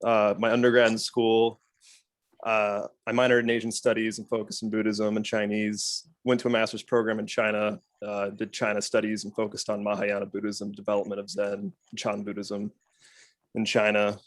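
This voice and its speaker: A man's voice: lowish voice, moderate pace, no room sound. A young adult man introducing himself fluently to a class on a video call. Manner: fluent, steady. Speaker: student.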